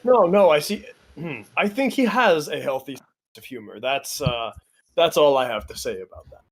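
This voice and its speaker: A man playing a very tall, polite, earnest soldier in a tabletop roleplay, speaking in a measured, literal way. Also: a little high, lively intonation, slightly rough. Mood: earnest, puzzled. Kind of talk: improvised in-character roleplay.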